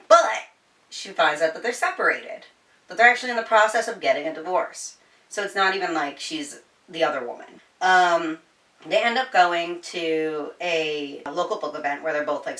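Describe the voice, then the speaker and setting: A woman chatting informally through a book recap, slangy and sweary, telling it like an animated storyteller. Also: low voice, distant mic. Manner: animated storyteller, voicing quoted dialogue. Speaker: book reviewer. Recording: echoey room, somewhat off the mic.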